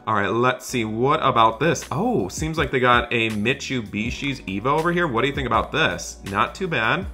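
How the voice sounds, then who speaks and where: Bright-voiced, enthusiastic man narrating roleplay. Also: medium pitch, animated, moderate pace, hardly any pauses, faint music behind. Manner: enthusiastic, chatty, exclamatory. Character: excited friendly dad shopping for project cars. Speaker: host of a gaming roleplay channel. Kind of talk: improvised roleplay narration over gameplay.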